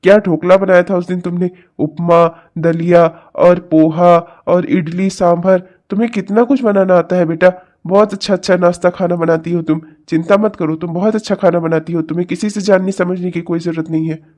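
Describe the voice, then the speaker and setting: A high-pitched male voice of a kindly older family patriarch, acted by a man. He reasons and placates in the melodramatic style of a domestic story. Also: steady tone, brisk, hardly any pauses, close to an everyday voice. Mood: reassuring. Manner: reassuring, gently reasoning. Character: placating, kindly older Indian father-in-law.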